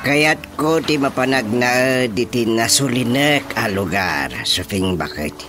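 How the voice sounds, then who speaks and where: A man playing a stubborn old husband in an Ilocano radio comedy-drama, with an insistent, expressive delivery. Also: mid-range voice, unhurried, loud music behind the voice, natural voice. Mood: wistful, explaining. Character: stubborn old husband longing for a quiet place.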